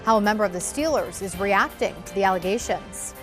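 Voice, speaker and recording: A woman's voice: medium pitch, expressive, brisk, music in the background. A woman anchoring local news, reading scripted stories in a broadcast style.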